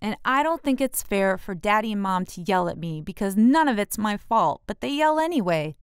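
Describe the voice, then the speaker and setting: A six-year-old boy played by a woman grumbles through a storybook tale. He is sulky, jealous and defiant. The voice is smooth and clean, recorded dry. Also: medium pitch, lively intonation, moderate pace, no echo, natural voice. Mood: sulky, defensive.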